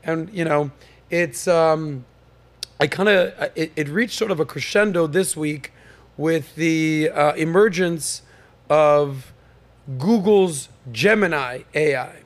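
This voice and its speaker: A man hosts a casual podcast panel in a fairly high voice. He sounds upbeat and jokey and keeps stumbling into fillers and restarts as he runs through the show. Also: slow pace. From United States. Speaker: podcast host.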